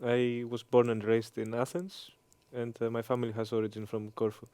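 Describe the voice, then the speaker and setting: Greek guy in his 30s, pretty flat monotone, lots of uhs, clear voice, dry room. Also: medium pitch, normal speed, dry recording. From Greece. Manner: hesitant, frequent uh fillers. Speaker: interview guest, engineering professor. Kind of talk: conversational interview answers.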